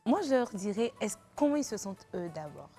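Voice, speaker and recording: A woman's voice: mid-range voice, very animated, brisk, dark tone, soft background music. A woman guest on a talk show speaks emphatically, giving heartfelt advice.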